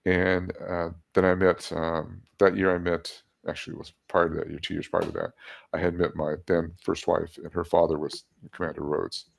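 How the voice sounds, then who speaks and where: A man's voice: sits low, little pitch movement, normal speed, creaky. A man in his seventies, a guest casually telling stories about his life and laughing at his own jokes. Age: 70s. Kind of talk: casual storytelling interview.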